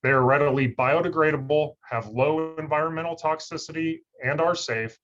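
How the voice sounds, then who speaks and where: A man scientist giving a steady, monotone scripted webinar presentation over a video call. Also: fairly high pitch, normal speed. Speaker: industry research scientist presenting. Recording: slightly dull lo-fi call audio.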